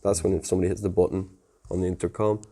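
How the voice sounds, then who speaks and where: Low-voiced man casually explaining tech. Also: level tone, racing, warm tone. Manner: informal, plain-spoken explaining. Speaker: technical presenter explaining network setup. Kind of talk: casual conversational tutorial.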